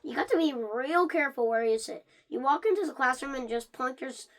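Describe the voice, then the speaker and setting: High-pitched reader doing a children's book read-along. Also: a little fast. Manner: animated, stumbles over words and restarts. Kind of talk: reading a children's book aloud.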